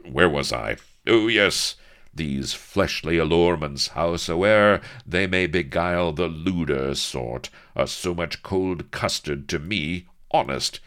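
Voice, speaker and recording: A man's voice: deep voice, takes it slowly, a bit bright, clean tone, voice pushed up. A man voicing a pompous, naive young sea captain who speaks in mock-archaic phrasing.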